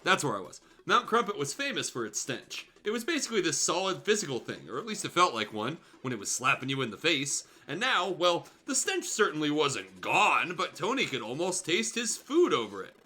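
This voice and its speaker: Animated, bright-voiced man reading comically. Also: on the high side, fairly quick, few pauses. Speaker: guest host reading fan fiction. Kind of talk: casual comedic storybook reading with asides.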